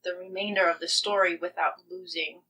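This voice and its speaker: A woman's voice: lowish voice, fairly even intonation, a little fast, pushed and bright, recorded from a distance, rough recording. A woman talking earnestly and reflectively as a guest in a podcast interview, stressing points by repeating them. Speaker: playwright guest.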